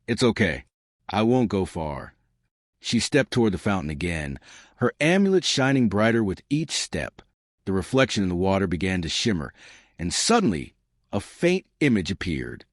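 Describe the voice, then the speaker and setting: A man's voice: low voice, wildly swooping pitch, moderate pace, no room sound, studio quality. A man reading a children's fairytale as a scripted, storybook narration.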